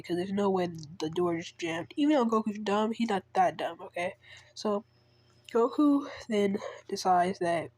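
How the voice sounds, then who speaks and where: A woman who is feeling sick tells a story off the cuff. She rambles, stops and restarts often, and her voice sits at an ordinary pitch. The sound is very dry and close. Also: darkish tone, no room sound. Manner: rambling, many fillers and restarts. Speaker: fan storyteller. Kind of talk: casual spoken retelling of a made-up story.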